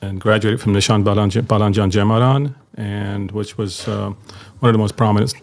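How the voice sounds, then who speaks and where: Flat, gravelly middle-aged man. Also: lowish voice, moderate pace. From Beirut, Lebanon. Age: middle-aged, over 55. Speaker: podcast guest, NGO representative. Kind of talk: conversational autobiographical talk.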